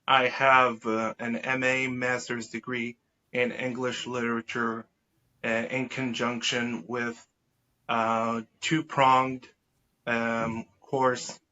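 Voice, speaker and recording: A man from Israel, a native Hebrew speaker, talks as an interview guest. His voice is bright and forceful, with little melodic movement. He uses formal, wordy phrasing and corrects himself as he goes, through slightly muffled, lo-fi audio. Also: medium pitch. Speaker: podcast guest. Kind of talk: conversational interview answers. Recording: dull lo-fi sound.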